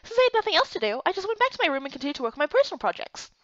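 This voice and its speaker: A woman giving expressive first-person scripted narration of a fantasy story. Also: extremely high pitch, racing, no echo, lo-fi sound. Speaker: narrator and voice actor for a visual novel playthrough.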